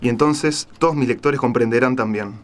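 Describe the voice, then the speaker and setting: A man's voice: medium pitch, a little fast, faint music behind, natural voice. A man playing a scared captive journalist in an audio drama, hesitant and careful, trying to placate. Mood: anxious, placating. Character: frightened captive male journalist, placating and pleading.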